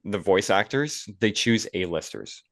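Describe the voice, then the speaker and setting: A man with a distinctly low voice talks casually as a podcast co-host. He offers his opinions in an easy conversational way, and the sound is close and dry with little room echo. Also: lively intonation, measured pace, vocal fry, a bit bright.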